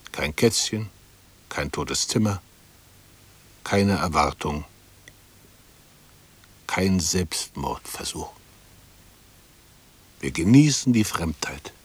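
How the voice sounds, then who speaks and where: An embittered, dutiful male bank employee in a radio drama monologue. His voice is fairly low and husky. He broods and justifies himself in short, clipped fragments, longing for peace. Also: full character voice. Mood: wistful. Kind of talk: interior monologue in a 1960s German radio play.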